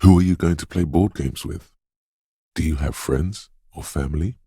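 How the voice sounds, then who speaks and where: Very deep, gravelly mystical inner voice. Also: male voice, moderate pace, dry recording, clean studio sound, exaggerated voice. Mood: ominous, eerie. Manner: hushed, mysterious, portentous, theatrical. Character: brooding, mystical inner voice of intuition, ominous and superstitious. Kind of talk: dramatic video game narration.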